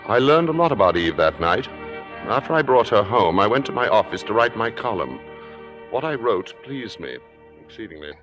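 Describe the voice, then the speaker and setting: A reverberant old radio play carries a suave, cunning drama critic. His low voice swoops expressively through urbane, deliberate phrases, with music behind him. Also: sing-song. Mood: smooth narration. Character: suave, worldly theater critic, cunning and probing. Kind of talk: 1950s radio drama acting and narration.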